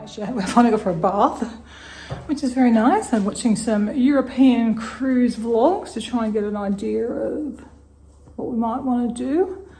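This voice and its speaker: A middle-aged Australian woman with a slightly croaky voice, chatting casually through her day in a home vlog and thinking aloud with soft laughs. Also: on the high side, normal speed, soft background music. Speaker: vlogger. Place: home kitchen.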